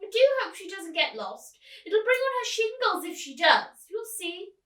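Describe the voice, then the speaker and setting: High, fluting fussy old lady. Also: sing-song, normal speed, bright, forceful tone, recorded from a distance, exaggerated voice. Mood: fussy, fretful. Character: fussy elderly British lady neighbour, kindly but fretful. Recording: echoey room, away from the mic.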